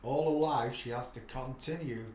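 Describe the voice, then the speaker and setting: A man hosting a live stream, talking in a preachy, rambling monologue with frequent restarts and sudden loud bursts. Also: medium pitch, normal speed, echoey room, a little hiss or hum. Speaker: livestream host.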